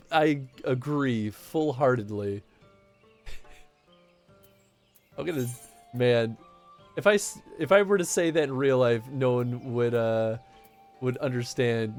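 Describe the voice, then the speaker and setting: A man's voice: medium pitch, a little slow, long pauses, a little mellow, faint music behind. A man chatting casually on a live game stream, reacting playfully and laughing often.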